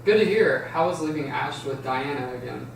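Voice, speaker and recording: A man's voice: medium pitch, expressive, moderate pace, creaky. A man reading the part of a tired, stressed schoolboy brother in an amateur script table read. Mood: casual. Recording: very echoey room, distant mic, background hiss.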